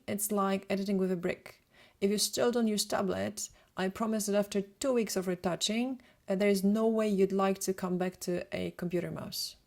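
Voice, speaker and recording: A woman's voice: medium pitch, darkish tone. A woman from Poland, a native Polish speaker with a Polish accent, giving a prepared webinar presentation as a photographer. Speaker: photographer and retoucher hosting a webinar.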